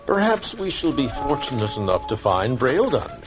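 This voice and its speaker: An actor playing a solemn, courteous old scholar in a radio drama, speaking in formal, measured phrases. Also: male voice, medium pitch, sing-song, close to an everyday voice. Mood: courteous, firm. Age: older. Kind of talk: vintage-style radio drama acting. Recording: dull lo-fi radio drama recording. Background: music.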